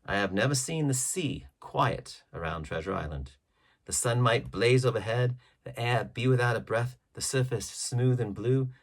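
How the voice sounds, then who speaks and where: A man reading a classic adventure novel aloud as expressive, measured audiobook narration with emphatic phrasing. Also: fairly low pitch. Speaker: audiobook reader.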